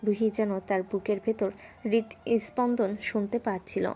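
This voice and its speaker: A woman narrating a romantic audio story in a gentle, scripted storytelling style. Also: medium pitch, steady tone, moderate pace. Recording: music bed underneath.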